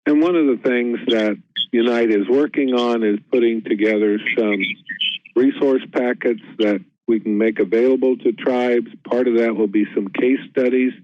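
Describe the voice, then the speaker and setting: A man giving measured, informational remarks as a panelist on a webinar. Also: mid-range voice, dry recording. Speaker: panel speaker for an organization. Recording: dull, band-limited webinar audio.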